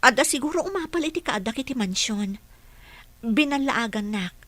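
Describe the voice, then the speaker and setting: Radio serial role: a respectful, anxious mother, slightly high voice, practical and sincere. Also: animated, normal speed, a bit bright, no echo. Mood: earnest. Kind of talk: Ilocano radio drama acting.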